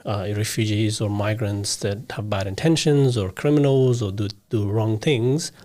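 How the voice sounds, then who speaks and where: A man's voice: on the low side, moderate pace, darkish tone. A man hosting a podcast, speaking earnestly in a loose, conversational way and often checking for agreement. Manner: earnest, many fillers and repeats, asks for agreement. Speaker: podcast host. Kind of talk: casual interview conversation.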